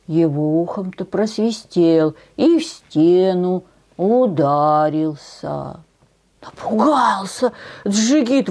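Slow-paced, lively older woman storyteller. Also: fairly low pitch. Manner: unhurried, folksy, with diminutives. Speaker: storyteller on a channel. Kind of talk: expressive folk-tale storytelling. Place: small decorated room indoors.

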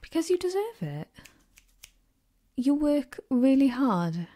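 A young woman playing a caring, gently teasing girlfriend in an intimate ASMR roleplay, with a soft, breathy, reassuring delivery close to the mic. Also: high-pitched, very animated, slow, exaggerated voice. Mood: tender, reassuring. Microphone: close binaural microphone.

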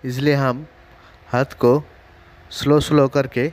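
Flat-voiced man explaining welding. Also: mid-range voice, slow. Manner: plain, steady, explains step by step. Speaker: welder demonstrating a technique. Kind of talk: casual how-to voice-over.

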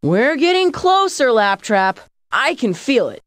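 A woman playing a sensible, wry young boy adventurer in an animated game. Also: high-pitched, a little slow. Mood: reassuring. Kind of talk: animated cartoon game dialogue.

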